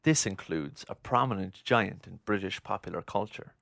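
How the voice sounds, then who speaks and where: Low, creaky-voiced male documentary narrator. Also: normal speed, a bit bright, no room sound. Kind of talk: scripted narration.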